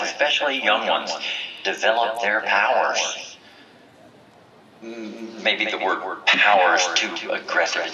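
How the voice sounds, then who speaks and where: An actor playing a stern, solemn village leader giving measured, commanding oratory in an audio drama. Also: male voice, sits low, bright, forceful tone, reverberant, recorded from a distance, dull audio, exaggerated voice. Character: stern, solemn village leader presiding over a memorial and judgment. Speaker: community leader. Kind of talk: formal speech in an audio drama.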